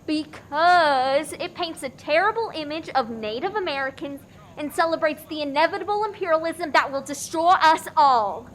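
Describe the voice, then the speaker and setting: A woman playing an opinionated, excitable diner customer, emphatic and exaggerated, in a farcical radio play. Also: high-pitched, normal speed, a little hiss or hum.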